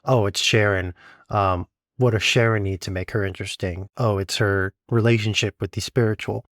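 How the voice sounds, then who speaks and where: A man with a slightly low voice speaks as an interviewer in a dry, close-sounding recording. He is conversational and reflective, summing up ideas and posing rhetorical questions as he goes. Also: steady tone, normal speed, a bit bright, no room sound.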